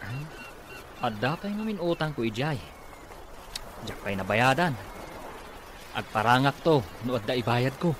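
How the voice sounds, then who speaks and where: A man's voice: mid-range voice, lively intonation, a little slow, long pauses, natural voice. A man playing a broke, girl-curious village drinking buddy in an Ilocano radio comedy drama. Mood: reluctant, chummy. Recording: noisy radio drama with ambience.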